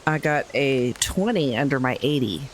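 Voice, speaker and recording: A man's voice: mid-range voice, animated, moderate pace, heavy background noise. A man talking casually as a player in a tabletop game.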